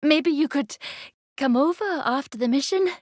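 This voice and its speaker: Young tech agent for a game, female actor: eager, shyly affectionate, high voice, close dry sound. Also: normal speed, a little mellow, no room sound, natural voice. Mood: shy, hopeful. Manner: coy, eager.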